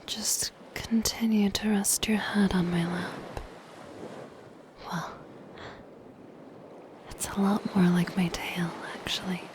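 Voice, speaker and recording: A woman voicing a lonely, coyly possessive siren in a soft, breathy whisper for an ASMR roleplay. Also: medium pitch, steady tone, lightly acted. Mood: coyly menacing. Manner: slow, gentle, coyly possessive. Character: soft-spoken lonely siren, menacing yet shy and curious. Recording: ocean surf noise behind the voice. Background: ocean waves.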